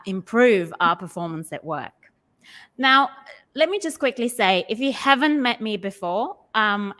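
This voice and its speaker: A woman's voice: mid-range voice, a bit bright, smooth. A woman hosting a live online interview session, a business change coach who talks in an upbeat, welcoming way with frequent ums.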